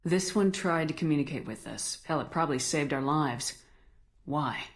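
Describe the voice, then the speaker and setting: An actress playing a decisive, resolute spaceship commander in a video game. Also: female voice, sits low, expressive, moderate pace. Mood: firm.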